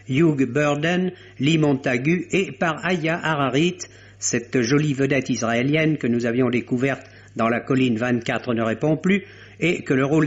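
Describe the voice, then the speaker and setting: A man giving a scripted film review on the radio in a measured, articulate and opinionated way. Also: on the high side. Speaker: film critic. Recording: dull, lo-fi vintage radio recording.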